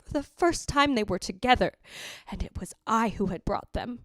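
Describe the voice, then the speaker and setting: A woman's voice: on the high side, expressive, a bit bright, dry recording. A woman playing the jealous, anguished ghost of a dead wife, narrating in a literary, theatrical old-time radio drama style. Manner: literary, theatrical, deliberate delivery.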